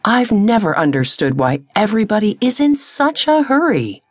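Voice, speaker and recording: A woman voicing a kind, gentle male grasshopper in a lowered, calm and reassuring voice. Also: moderate pace, dry recording, clean studio sound.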